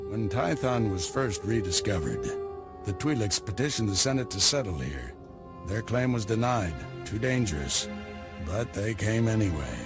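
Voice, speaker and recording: A man voicing a calm, wry Jedi master who gives guidance and orders to his apprentice, in a studio video game cutscene. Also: lowish voice, normal speed. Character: wry, patient older Jedi master and mentor. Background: orchestral game music.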